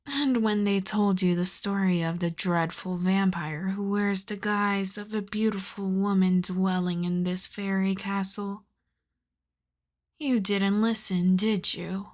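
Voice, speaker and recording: Woman playing a slow, seductive vampire countess. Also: medium pitch. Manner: slow, teasing, half-whispered, commanding endearments. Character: seductive, possessive vampire countess, once human, playfully menacing. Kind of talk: intimate ASMR roleplay, scripted.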